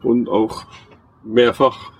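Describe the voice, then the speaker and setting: A man's voice: medium pitch, flat delivery, very slow, slight background noise. A man interviewing outdoors, putting short prompting questions to a traveler. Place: outdoors beside a vehicle museum.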